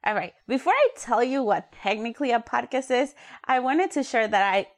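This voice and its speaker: Woman co-host from Ecuador, chatty and friendly, a bit high-pitched. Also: animated, moderate pace. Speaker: podcast co-host, youth worker. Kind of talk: casual podcast hosting.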